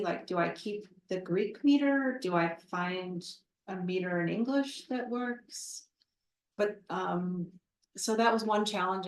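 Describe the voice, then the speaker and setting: Halting woman talking in an echoey room. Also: mid-range voice. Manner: thoughtful and halting, with many fillers and restarts. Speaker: literary translator, interview guest. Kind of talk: live conversation at a public book talk. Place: event room at a literary center. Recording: roomy echo, voice from far off the mic.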